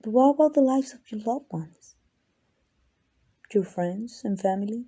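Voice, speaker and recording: A woman voicing an ancient, arrogant, possessive female vampire in an ASMR roleplay, condescending and teasing, with a breathy voice that dips into whispers. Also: fairly high pitch, lively intonation, unhurried, dark tone, full character voice. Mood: mocking, dominant. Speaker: amateur voice actor.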